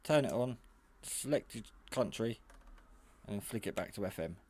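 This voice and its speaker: A man's voice: on the low side, expressive, moderate pace, darkish tone. A man giving a casual, hands-on product demonstration in a hesitant, rambling, matter-of-fact way. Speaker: hobbyist radio reviewer. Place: garage.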